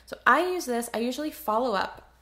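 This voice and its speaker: A woman's voice: on the high side, animated, brisk, a bit bright. A young adult woman talking casually to camera, reviewing her skincare favorites with a chatty, enthusiastic manner full of filler words. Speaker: beauty vlogger.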